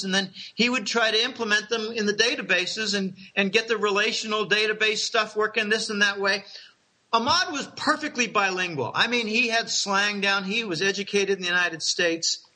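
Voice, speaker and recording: A man's voice: sits high, a little fast, bright, forceful tone, low-quality recording. A middle-aged man casually telling rambling, conspiratorial stories, chuckling and restarting his sentences.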